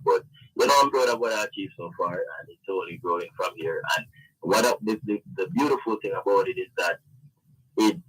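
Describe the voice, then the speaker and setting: A man speaks as a guest in a casual radio interview. His voice sits fairly low and has a rough, husky texture. He talks conversationally, padding his phrases with "you know". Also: a bit bright, rough recording. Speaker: interview guest, event organiser.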